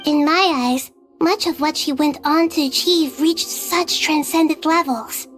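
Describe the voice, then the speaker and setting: Small wise girl deity: high, gentle, measured and formal game dialogue, faint music underneath. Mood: reflective. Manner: measured, formal, softly earnest. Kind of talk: scripted video game dialogue.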